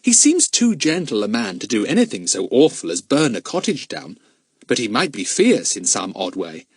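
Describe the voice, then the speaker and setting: A man's voice: medium pitch, wildly swooping pitch, moderate pace, husky, no echo, full character voice. A man voicing a keen, sensible schoolboy detective, eager and forthright, in a children's audiobook. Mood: puzzled. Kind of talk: acted children's audiobook dialogue.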